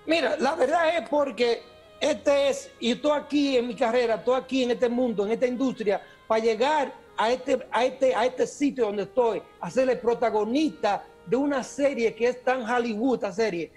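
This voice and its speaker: A middle-aged Dominican man with a Cibaeño accent, talking on a webcam video call as an actor guest, animated and often restarting his words. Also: very high-pitched, moderate pace, soft background music. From Dominican, raised in the United States. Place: small room with posters indoors.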